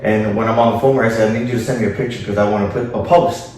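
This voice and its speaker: A man's voice: fairly low pitch, steady tone, rapid-fire, darkish tone. A man from Miami answering interview questions informally as a guest, dropping in Spanish phrases. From Miami, Florida. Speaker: interview guest, city official and former teacher. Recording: echoey room, distant mic, faint noise.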